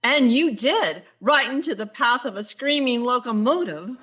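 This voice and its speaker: A woman playing a wry schoolteacher with quick deadpan asides in a vintage radio sitcom style. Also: a little high, a little hiss or hum. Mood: flustered, dry.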